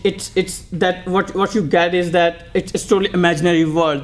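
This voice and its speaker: A man making an impromptu debate argument, hesitant and repetitive as he searches for words. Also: high voice. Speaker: debater, prime minister in a mock debate. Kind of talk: off-the-cuff debate speech.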